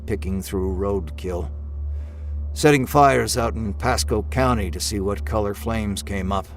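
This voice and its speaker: Creepy morbid old man, read by a male narrator, low and hushed. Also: measured pace, vocal fry, soft background music. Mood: menacing.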